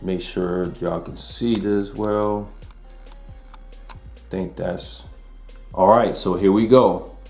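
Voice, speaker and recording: Host, male, 40s, deep low voice, easygoing slangy delivery, faint beats underneath. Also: steady tone, slow, long pauses, darkish tone, faint music behind. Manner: laid-back slangy talk to viewers. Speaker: album reviewer. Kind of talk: casual music review.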